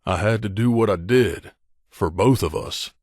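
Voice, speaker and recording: A man's voice: fairly low pitch, a little slow, darkish tone, no echo, studio quality. A man voicing a troubled, guilt-haunted wasteland companion in a video game, speaking in an earnest, plain-spoken way. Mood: guilty, confessional. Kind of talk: video game voice acting, dramatic dialogue.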